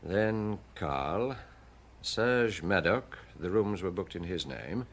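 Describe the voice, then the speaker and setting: A man acting in a British radio detective drama as an insistent, authoritative police chief who questions briskly and with impatience. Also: sits low, lively intonation, heavily acted. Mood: pressing, methodical. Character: authoritative male police chief, probing, impatient, menacing interrogator.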